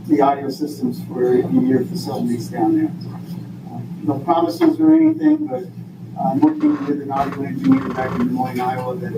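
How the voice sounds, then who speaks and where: An older man from Vermont speaking informally at a public meeting, in a halting, folksy, rambling way. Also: medium pitch, vocal fry. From Vermont, United States. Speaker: school board member leading the meeting. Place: indoor meeting room. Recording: echoey room, distant mic, noisy background.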